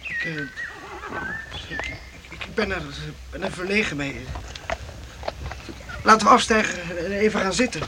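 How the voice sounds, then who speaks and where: A man acting an earnest, easily overwhelmed young castle heir in a radio drama, sometimes halting. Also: sits high, huge pitch swings, heavily acted. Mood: awed. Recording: noisy old radio play recording.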